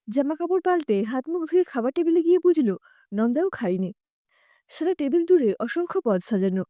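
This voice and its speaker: A woman narrating a scripted short story in an expressive storytelling style. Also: high-pitched, no room sound, studio quality.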